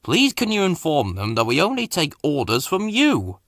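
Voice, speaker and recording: A man's voice: fairly high pitch, huge pitch swings, moderate pace, a bit bright, dry recording, clean studio sound, exaggerated voice. A man voicing a polite, confident and proud male railway engine in a children's story. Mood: polite, firm. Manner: courteous, assured.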